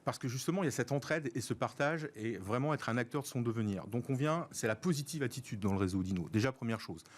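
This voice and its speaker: A middle-aged male guest with an accent from France speaks at a medium pitch. He explains his views in a structured, measured way, repeating words to stress his points. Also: animated. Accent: French from France. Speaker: interview guest, senior professional. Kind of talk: explanatory interview talk.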